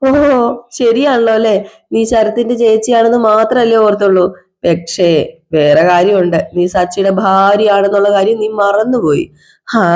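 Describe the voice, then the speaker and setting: A woman voicing a drunk, self-pitying husband who accuses his wife in slow, repetitive, sarcastic phrases. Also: medium pitch, warm tone, close to an everyday voice. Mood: sarcastic, bitter. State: drunk (as acted). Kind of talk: one-person domestic drama skit.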